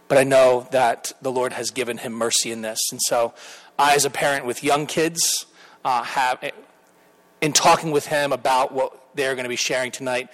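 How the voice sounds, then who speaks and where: A man's voice: medium pitch, a bit bright, poor audio. Earnest man introducing a speaker. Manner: earnest, rambling with restarts. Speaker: pastor. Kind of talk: introduction and prayer.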